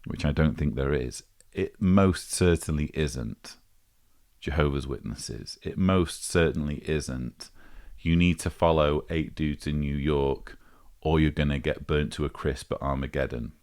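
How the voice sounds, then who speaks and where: A man hosting a vlog, giving measured, articulate commentary in formal phrasing as he replies to a message. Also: a bass voice, darkish tone. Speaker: vlog host. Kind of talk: measured spoken commentary replying to a voicemail.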